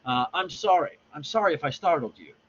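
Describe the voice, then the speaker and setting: A man's voice: on the high side, animated, fairly quick, a bit bright, lo-fi sound, slight background noise, voice pushed up. A man voicing a timid, apologetic young woman in a story read aloud. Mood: timid, flustered.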